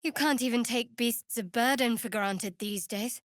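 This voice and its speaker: An actress playing a guarded, dryly sardonic young woman cleric of a dark goddess in a fantasy video game. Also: fairly high pitch, a little slow, no echo, clean studio sound. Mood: wry, dry.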